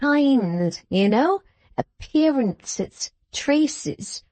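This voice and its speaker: A woman voicing a pompous, condescending middle-aged Englishman who talks slowly and over-explains, as to a deaf child. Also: high voice, lively intonation, a little mellow, no room sound, voice pushed up, exaggerated voice.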